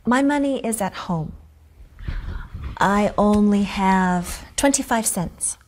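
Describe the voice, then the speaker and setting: A woman's voice: medium pitch, takes it slowly, darkish tone, a little hiss or hum. A young woman playing the student in scripted, simple lesson dialogue, with careful wording. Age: young adult. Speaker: student in an English lesson show. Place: small classroom set, indoors.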